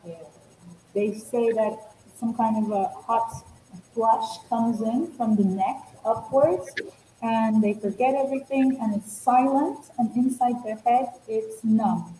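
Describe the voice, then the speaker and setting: A woman's voice: on the high side, steady tone, slow, mellow, slight background noise. Woman moderator on an echoey call. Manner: patient, repeating the question. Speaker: webinar moderator. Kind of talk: reading audience questions.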